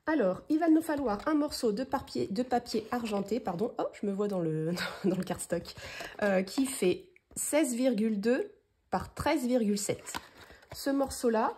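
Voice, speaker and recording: A woman's voice: a little high, moderate pace, a little mellow, slight background noise. A woman from France with a head cold, chatting through a casual papercraft tutorial and rambling with many fillers as she shows her materials. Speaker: crafting tutorial host. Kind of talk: casual hands-on craft tutorial.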